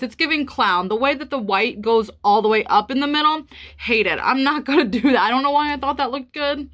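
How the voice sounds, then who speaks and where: A woman's voice: fairly high pitch, fairly bright tone. A young adult woman vlogging at home, chatting casually and informally to the camera in a rambling way. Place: small room at home, indoors.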